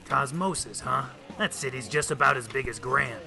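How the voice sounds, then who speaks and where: An actor playing an easygoing, informal police detective in an old time radio drama. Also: male voice, mid-range voice, moderate pace, creaky, music in the background, natural voice. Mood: friendly. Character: folksy police detective, army veteran friend.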